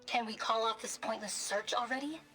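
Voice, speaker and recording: A fairly high female voice from an actress plays an impatient underling in a video game. She sounds like a subordinate fed up with orders, with faint music behind her. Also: a little fast, a bit bright, lightly acted. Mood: exasperated, alert.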